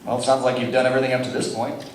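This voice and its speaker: An amateur actor playing a showy, dry-witted host of an old-time radio show. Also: male voice, medium pitch, monotone, very fast, natural voice. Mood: dryly skeptical. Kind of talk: 1930s radio show hosting pastiche. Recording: echoey room with clear background noise.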